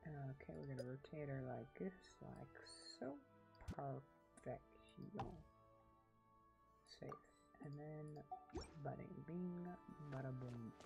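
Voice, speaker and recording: A woman with a low voice gives casual live game commentary at an unhurried pace. She is playful and talks half to herself, with game music clearly behind her. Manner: muttering to self, playful. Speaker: game streamer.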